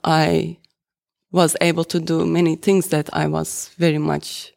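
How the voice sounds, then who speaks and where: An architect in their thirties from Turkey, whose native language is Turkish, speaks on a panel with a Turkish accent. Their voice is gravelly, and they talk slowly and haltingly, stopping between phrases. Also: medium pitch, level tone, darkish tone. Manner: deliberate, halting. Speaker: architect and artist. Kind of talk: panel discussion.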